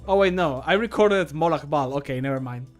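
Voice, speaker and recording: A man's voice: a little high, a little fast. A man streaming casually as he works, chatting in an informal, jokey, sweary way. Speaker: streamer and amateur voice actor. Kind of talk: casual livestream chat while working. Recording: music in the background. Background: music.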